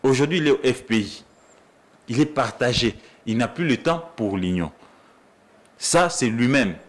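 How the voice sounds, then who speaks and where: Congolese guy journalist arguing politics on a debate show, medium pitch, repeats himself to hammer points. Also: animated, unhurried. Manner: emphatic, argumentative, repeats phrases.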